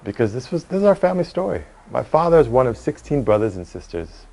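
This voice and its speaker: A man's voice: medium pitch, sing-song, fast talker, a little mellow. A middle-aged man from the United States with an American accent, speaking articulately and earnestly as an executive in a conversational interview. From Cleveland, United States. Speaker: real estate executive being interviewed.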